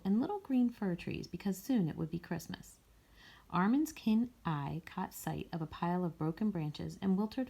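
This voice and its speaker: Mellow, creaky-voiced woman reading aloud. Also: lowish voice. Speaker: story reader. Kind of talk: scripted storybook narration, read aloud.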